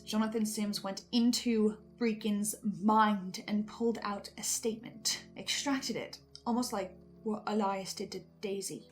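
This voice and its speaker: A woman giving reflective recap commentary, earnest and musing, often in short halting phrases. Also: medium pitch. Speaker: fan commentator playing a researcher.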